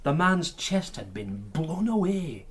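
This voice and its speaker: Radio drama: earnest constable, markedly high voice, plain factual reporting. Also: male voice, very animated, slow pace, very noisy. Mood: grimly matter-of-fact. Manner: matter-of-fact, blunt. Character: earnest, matter-of-fact police constable reporting. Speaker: actor in radio crime drama. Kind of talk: naturalistic radio drama acting.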